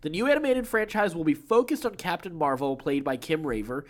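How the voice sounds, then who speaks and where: Guy with a slightly high voice doing nerd news on a podcast, chatty and into it. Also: animated, fairly quick. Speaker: podcast host.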